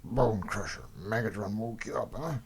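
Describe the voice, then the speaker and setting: Robot warrior, male actor: blunt, mocking and defiant, lowish pitch, for comic-book drama. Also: moderate pace. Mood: defiant mockery. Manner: taunting, blunt. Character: gruff, defiant robot soldier, mocking and impatient. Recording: clearly noisy recording.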